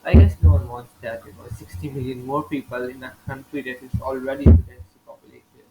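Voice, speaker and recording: A man's voice: medium pitch, fairly even intonation, a little fast, phone-quality sound, noisy background. A man born in Italy narrating a casual geography video over maps, rambling and leaning heavily on "you know" with frequent grammar slips.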